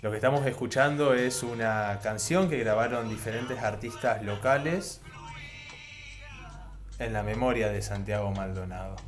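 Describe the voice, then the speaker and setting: A man with an Argentine accent presents a radio discussion in a fairly low voice, commenting and interviewing. Music plays clearly behind him. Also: moderate pace.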